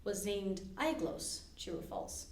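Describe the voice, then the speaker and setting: A woman hosting a library podcast, running a casual trivia quiz with quick asides and easy laughs. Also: mid-range voice, lively intonation. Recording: roomy echo with faint background hiss.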